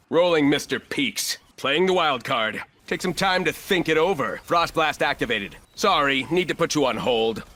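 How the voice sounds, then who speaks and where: An actor playing a ruthless, arrogant corporate executive turned combat operator in a video game, speaking in clipped, commanding, coolly condescending lines. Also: male voice, mid-range voice, pushed and bright. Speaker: playable military shooter operator.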